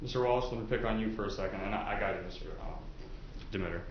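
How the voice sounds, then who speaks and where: A man's voice: fairly low pitch, rapid-fire. A man questioning jurors as a lawyer in a courtroom, speaking politely and conversationally with some hesitant phrasing. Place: indoor courtroom. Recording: echoey, dull courtroom audio, faint noise.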